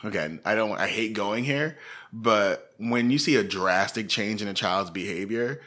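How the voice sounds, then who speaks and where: A man with a fairly low voice and a bright, forward tone chats about a true-crime case. He rambles loosely, full of "like" and "um," with easy laughs between thoughts. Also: animated, normal speed. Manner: chatty, rambling, lots of fillers and laughs. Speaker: podcast co-host. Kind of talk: casual true-crime chat.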